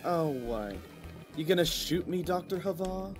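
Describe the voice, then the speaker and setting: A man's voice: on the high side, lively intonation, unhurried, over music. A man playing an indignant, defiant underling in an audio drama, confrontational and demanding.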